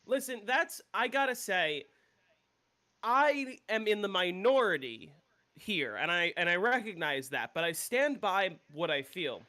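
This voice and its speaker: Streamer, male, casual and opinionated, swears freely, higher voice, sounds a bit congested. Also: very animated, measured pace, bright, forceful tone. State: congested, coming down with a cold. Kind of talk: casual gameplay chat.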